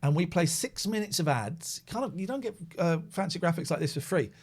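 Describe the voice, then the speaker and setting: Animated chatty male radio host. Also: a little high, a little fast, breathy edge. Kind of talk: casual late-night radio chat.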